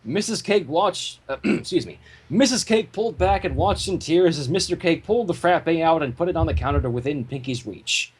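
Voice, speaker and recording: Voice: mid-range voice, lively intonation, a little fast. A narrator reading fan fiction aloud in a dramatic, theatrical storytelling manner. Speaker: narrator and reader.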